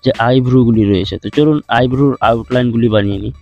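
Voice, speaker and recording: A man narrating a step-by-step screen tutorial in a steady instructional way, addressing viewers as friends. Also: medium pitch, very fast. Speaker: tutorial host. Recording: dull lo-fi with faint music.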